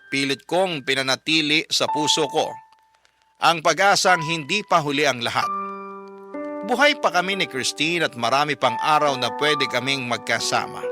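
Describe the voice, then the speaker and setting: A man reading a letter as scripted first-person radio story narration, in a measured, sentimental storytelling manner. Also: on the high side. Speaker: radio drama host and narrator. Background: background music.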